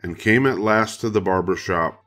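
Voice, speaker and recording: A man's voice: low-pitched, moderate pace, fairly bright tone, soft background music. An older man narrating a children's story from a script. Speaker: storybook reader.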